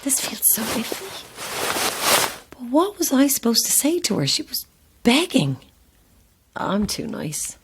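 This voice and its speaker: A woman's voice: on the high side, sing-song, measured pace, pauses often, background noise. A woman playing an anxious, self-deprecating actress in an audio drama, chatty and wry with a theatrical streak. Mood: wry, self-mocking. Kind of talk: audio horror-comedy drama acting.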